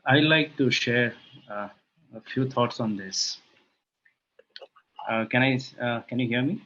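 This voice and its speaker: A man's voice: medium pitch, measured pace, a bit bright, a little hiss or hum. A man with an Indian accent sharing reflections as a participant in an online discussion, with dull lo-fi sound. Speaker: panel participant. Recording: dull lo-fi online call audio.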